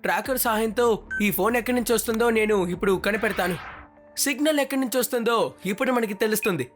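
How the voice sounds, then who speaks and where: A woman's voice: a little high, rapid-fire, soft background music, close to an everyday voice. A woman voicing a confident young boy hero in a cartoon, decisive and commanding. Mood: thoughtful, determined. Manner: decisive, instructing. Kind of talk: cartoon dubbing.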